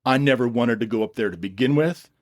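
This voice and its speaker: A man's voice: medium pitch, quick pace, lightly acted. A man voicing a firm, insistent, fed-up woman in an audio story. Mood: firm refusal.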